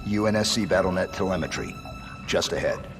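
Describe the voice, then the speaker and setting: A man playing a stoic, unflappable armored supersoldier in a game, talking in terse, deadpan military phrases. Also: low voice, brisk, over music. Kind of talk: cinematic game dialogue.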